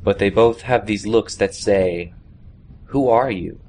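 A young man reads a short story aloud at a slow, measured pace. His voice sits on the low side, and there is faint noise behind him in the live recording. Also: a little mellow. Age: young adult. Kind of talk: scripted literary narration.

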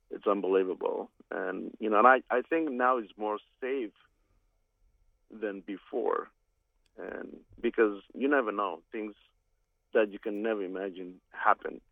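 A man from Somalia calling in to a radio talk show, speaking haltingly with many restarts over a phone line. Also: on the low side, gravelly, no echo. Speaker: caller.

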